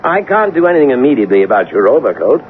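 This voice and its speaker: An actor playing a pompous, condescending inspector general in a 1970s radio drama, officious and dismissive. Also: male voice, medium pitch, big pitch swings, racing, slight background noise, close to an everyday voice. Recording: tinny old radio broadcast.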